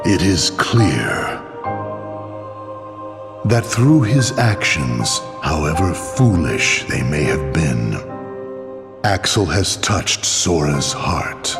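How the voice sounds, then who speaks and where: Grave villain leader, extremely slow and deep, portentous game cutscene delivery. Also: male voice, very animated, creaky, over loud music, full character voice. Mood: ominous calm. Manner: measured, portentous pronouncements. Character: grave, commanding dark organization leader. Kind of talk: video game cutscene acting.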